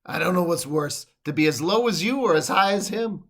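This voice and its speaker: An actor playing a sardonic, wisecracking saloon bartender in old-time radio style. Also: male voice, high voice, expressive, a little fast, a bit bright, heavily acted. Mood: wry.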